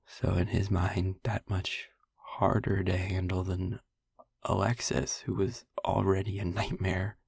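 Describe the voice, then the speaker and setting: A young vampire boyfriend, acted by a man in an intimate audio roleplay, speaking low and very breathy, close to a whisper. He takes his time, gentle and wry, confiding in halting phrases with a gravelly edge. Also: little pitch movement, dry recording, heavily acted. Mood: wry, amused. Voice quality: breathy, husky, often near a whisper. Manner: halting, pausing, wry asides.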